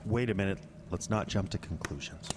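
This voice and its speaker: A man's voice: low voice, brisk, background noise, natural voice. An actor playing a defensive, evasive middle-aged man in an audio drama, stammering and dismissive. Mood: flustered.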